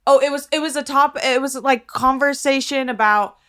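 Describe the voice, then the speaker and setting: A woman's voice: high voice, normal speed, sharp tone. Woman podcast cohost just chatting, swears a lot, teases, tells stories and does the quotes. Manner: crude, sweary, teasing. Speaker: podcast co-host. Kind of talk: casual chat with friends.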